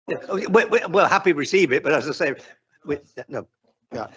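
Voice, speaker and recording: A man's voice: on the high side, fairly bright tone, breathy edge. A man chairing a council committee meeting, informal and stumbling over his words.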